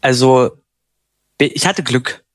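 A man's voice: medium pitch, takes it slowly, dry recording, clean studio sound. A man who sings and writes the lyrics for a band, answering interview questions in a chatty way with light laughs. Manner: chatty, laughs between sentences.

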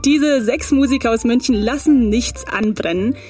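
A woman's voice: a little high, moderate pace, darkish tone, faint music behind. Upbeat woman radio host. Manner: upbeat, chirpy interjections. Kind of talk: radio interview.

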